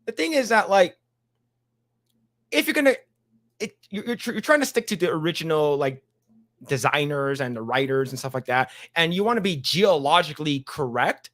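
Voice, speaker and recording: Man, slightly higher voice, halting delivery, podcast host, reads an article aloud, fillers and restarts. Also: fairly bright tone. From Chinese background. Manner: halting, many restarts and fillers, laughs while reading. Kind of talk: casual podcast chat, reading an article aloud.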